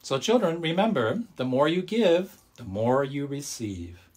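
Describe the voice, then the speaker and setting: A man's voice: fairly high pitch, very animated, a little slow, darkish tone, husky. A man speaking as a storyteller and service leader, addressing children and families in simple, friendly language.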